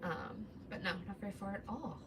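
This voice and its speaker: A woman in her thirties with a soft, relaxing Midwestern voice, chatting casually with viewers during a crafting livestream. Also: medium pitch, expressive, fairly quick. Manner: chatty, greets viewers while working. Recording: distant mic with background noise.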